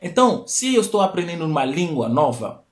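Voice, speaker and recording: A man's voice: a little high, big pitch swings, fairly quick. Man teaching on a live stream. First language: not Portuguese. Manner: explanatory, repeats key phrases, rising emphatic peaks. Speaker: host of a live stream talk. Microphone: live stream mic.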